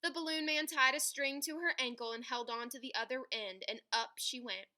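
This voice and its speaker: A woman reading a children's picture book aloud in an animated, dramatic way, stretching key words for emphasis. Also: sits high, moderate pace. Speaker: read-aloud storyteller.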